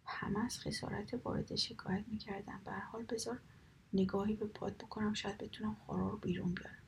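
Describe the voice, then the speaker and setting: A woman voicing a sly, clever male raven in a soft whisper. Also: medium pitch, fairly even intonation, creaky, slight background noise, lightly acted, low-quality recording.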